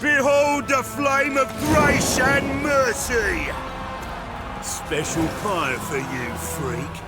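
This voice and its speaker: A man's voice: extremely slow, loud music behind the voice, exaggerated voice. An actor playing a fanatical male witch-hunter who preaches about holy fire. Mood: zealous. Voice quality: booming, zealous, sneering.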